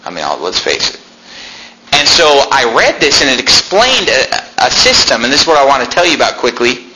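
A man's voice: medium pitch, very animated, brisk, pushed and bright. A man in his twenties tells a personal story to a congregation in a casual, chatty way, repeating phrases and turning to the audience with asides. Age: 20s. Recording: roomy echo, faint noise.

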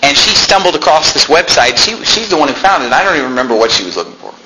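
A man's voice: fairly high pitch, big pitch swings, fast talker. Man, 20s, casual testimony, conversational asides, ringing reverberant room, faint background hiss. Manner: conversational, repeats phrases, chatty asides to the audience. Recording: roomy echo, faint noise.

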